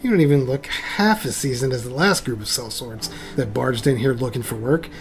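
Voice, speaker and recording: A man's voice: medium pitch, moderate pace, faint music behind, lightly acted. A man playing a brusque, irritable guard captain in a fantasy audio drama, curt, scornful and commanding. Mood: dismissive.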